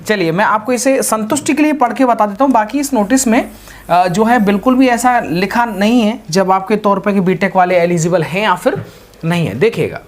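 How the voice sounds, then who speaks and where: A woman's voice: mid-range voice, normal speed. A woman giving an informal explainer to viewers as host, reading out and explaining in a repetitive, direct way. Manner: repetitive, explanatory, direct address with verbal tags. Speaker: host of exam update videos.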